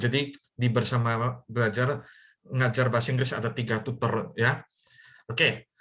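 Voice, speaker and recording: A man's voice: mid-range voice, fairly bright tone. Young male tutor, video call, patient step-by-step explainer. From Medan, North Sumatra, Indonesia. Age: young adult. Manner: explains in simple steps, checks in with students. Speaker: online English tutor. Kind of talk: live virtual class teaching.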